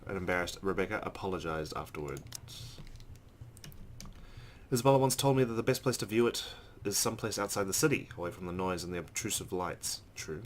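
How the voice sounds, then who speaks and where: A man's voice: sits low, animated, brisk, slight background noise. A man hosting a let's play, reading a visual novel's narration aloud with casual asides.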